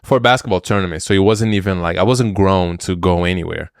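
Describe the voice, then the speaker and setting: A man's voice: low-pitched, fast talker, vocal fry, no room sound, studio quality. A man co-hosting a podcast, chatting in an upbeat, playful way. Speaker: podcast co-host. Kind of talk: casual chat.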